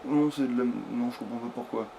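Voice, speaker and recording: A man's voice: mid-range voice, a little fast, gravelly. A man giving casual gaming commentary, muttering to himself hesitantly and sometimes swearing. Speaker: let's play gamer. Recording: steady background noise.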